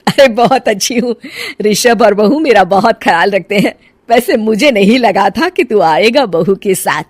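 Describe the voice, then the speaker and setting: A woman's voice: medium pitch, animated, moderate pace, no echo, close to an everyday voice. A woman voicing an older, emotional mother-in-law in a cartoon story, scolding and plaintive by turns. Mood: pleased, affectionate. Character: older stubborn, emotional mother-in-law pining for her son. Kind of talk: animated cartoon story dialogue.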